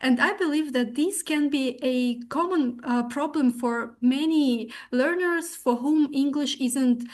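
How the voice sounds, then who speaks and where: A woman whose first language is Russian moderates an online Q&A, her voice pitched high. She sounds polite and formal as she relays audience comments. The sound comes through a video call. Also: a little slow, few pauses, darkish tone. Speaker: webinar moderator.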